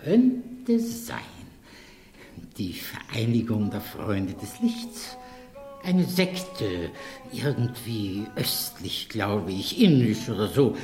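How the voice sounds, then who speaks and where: A man's voice: on the low side, very animated, drawn-out words, a little mellow, reverberant, soft background music, full character voice. An actor playing a deferential, sly and hesitant male informant in a radio crime drama. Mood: evasive, servile.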